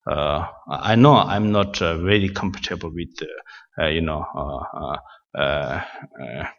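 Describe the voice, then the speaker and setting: A man teaching Buddhist practice to a class in English, which is not his first language, speaking haltingly with many fillers and restarts in a gentle, self-deprecating manner. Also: low voice. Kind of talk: Dharma talk to a class. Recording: dull, reverberant hall sound.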